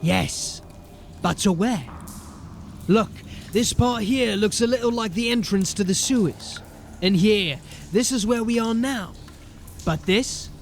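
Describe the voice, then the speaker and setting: A man acting a gruff, authoritative sergeant of a city watch in a fantasy audio drama, with clipped speech. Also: high voice, slow, long pauses, slight background noise. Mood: puzzled.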